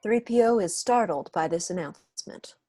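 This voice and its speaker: A woman's voice: fairly low pitch, expressive, normal speed, dark tone, no room sound, clean studio sound. A young woman reading stage directions as scripted narration for an online table read. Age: young adult. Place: room at home, on a video call.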